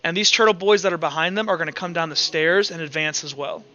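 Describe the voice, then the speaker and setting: A man's voice: fairly high pitch, fast talker, sharp tone, highs cut off. A man running a tabletop roleplaying game, narrating off the cuff in an informal, thinking-aloud way. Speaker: game master. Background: background music.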